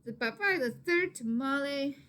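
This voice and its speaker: A woman reading a story aloud to children expressively, with dramatic emphasis and hushed asides to her listeners. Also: high-pitched, expressive, a little slow, slight background noise. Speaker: teacher or reader of a children's story.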